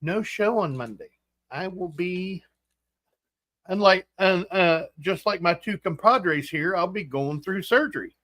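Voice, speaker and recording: A man in his forties hosting a casual livestream chat, rambling and halting with fillers and restarts. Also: high-pitched, lively intonation, a bit bright, dry recording. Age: 40s. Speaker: host.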